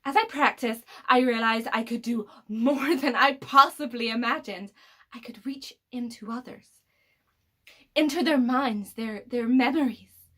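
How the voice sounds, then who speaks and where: A brooding killer with psychic powers delivers a dramatic monologue, acted by a woman in a fairly high voice. The delivery is embittered and coldly superior, with heavy pauses that sink into breathy whispers. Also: a bit bright. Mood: awed, resolute.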